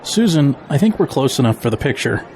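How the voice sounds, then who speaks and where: A man's voice: mid-range voice, sing-song, a little fast, darkish tone, some chatter behind, slight background noise. Man playing dry, wry middle-aged dad. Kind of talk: audio drama acting.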